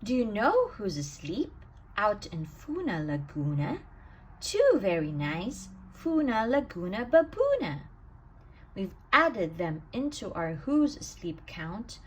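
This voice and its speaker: A woman reading a rhyming children's bedtime story aloud in an expressive, sing-song way with emphatic stresses. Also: mid-range voice, a little hiss or hum, poor audio. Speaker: storybook reader.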